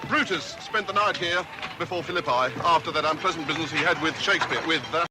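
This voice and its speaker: An actor playing a louche, glib small-time hustler posing as an ingratiating local guide, in an old film. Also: male voice, quick pace, loud music behind the voice, close to an everyday voice. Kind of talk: film dialogue, tour guide patter. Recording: noisy outdoor film soundtrack with vehicle rumble.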